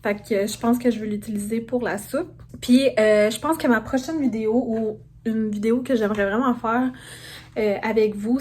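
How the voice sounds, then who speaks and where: A woman's voice: a little high, a little mellow. A woman with a Québécois French accent chatting casually to viewers as a vlogger, informally peppering her talk with English words.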